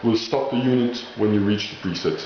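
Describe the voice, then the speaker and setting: Guy with a lowish voice explaining a product, searches for words, super echoey room, muffled sound. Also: fairly quick, a little mellow. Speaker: product presenter explaining label machinery. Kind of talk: informal product demonstration. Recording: echoey dull room recording, faint noise.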